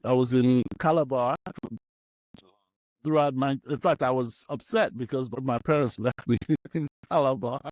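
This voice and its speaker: Man in his sixties, unhurried reminiscing voice. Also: medium pitch, a little mellow, dry recording, low-quality recording. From Nigeria, childhood partly in Uganda. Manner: reminiscing, repeats and restarts phrases, acts out quoted voices. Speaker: radio broadcaster, guest. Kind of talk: relaxed conversational storytelling.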